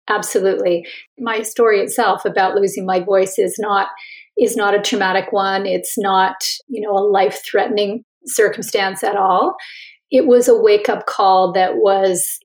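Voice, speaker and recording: A woman's voice: mid-range voice, darkish tone, rough recording. A woman author and coach, a guest in an interview, speaking in a reflective, conversational way with frequent restarts.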